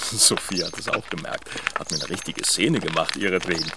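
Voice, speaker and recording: An actor playing a flirtatious, unsettlingly attentive man who teases in a smooth, sing-song way, in a radio drama. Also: normal speed, heavy background noise. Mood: flirting. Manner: smooth, teasing, swings pitch widely.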